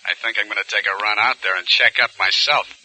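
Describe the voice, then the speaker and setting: Cold, sneering criminal on the phone, sharp and piercing tone, 1940s radio menace. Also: male voice, on the low side, steady tone, fast talker, rough recording. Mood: menacing. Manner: slick, intimidating, sneering. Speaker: radio drama actor.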